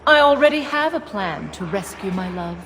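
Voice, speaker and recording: An actress playing a proud, sardonic Norse giantess in a video game cinematic, speaking in a measured, formal, ominous way. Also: female voice, medium pitch, sing-song, a bit bright, long reverb tail, slight background noise. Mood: guarded, defiant.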